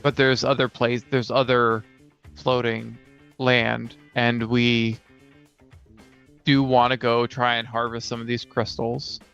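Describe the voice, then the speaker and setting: A man talks casually through gaming ideas, step by step, in a smooth and fairly flat voice. He sounds thoughtful as a co-host and player. The recording is a little dull, with music behind. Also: mid-range voice, slow. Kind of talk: casual brainstorming chat.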